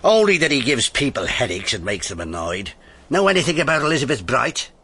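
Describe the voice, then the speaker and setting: Wry broody police inspector, radio drama, dry and thoughtful, really up and down delivery. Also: male voice, medium pitch, sing-song, a little fast, pushed and bright, breathy edge, exaggerated voice. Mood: dry, probing. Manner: dry, musing, sardonic. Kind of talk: BBC radio drama acting.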